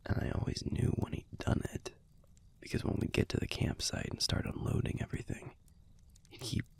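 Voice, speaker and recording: A man playing a gruff but tender young werewolf boyfriend in an intimate audio roleplay, with an American accent and a soft, husky, laid-back murmur. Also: in a whisper, lightly acted. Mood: wry, fond reminiscing. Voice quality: breathy, husky, gravelly low voice. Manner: laid-back, murmured, halting, casual swearing. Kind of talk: intimate audio roleplay, speaking softly to the listener.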